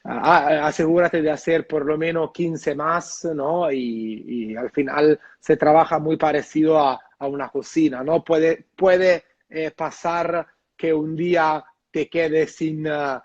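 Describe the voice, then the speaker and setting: Italian-accented man, halting and relaxed. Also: on the high side, dry recording. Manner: hesitant, many fillers and tag questions. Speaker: bartender guest. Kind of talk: casual interview over video call. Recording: muffled dry lo-fi audio.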